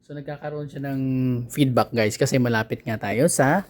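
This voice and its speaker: A man's voice: mid-range voice, very noisy. Casual Filipino man reviewing a product. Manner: conversational, halting, searching for words. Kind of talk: casual unboxing and review talk.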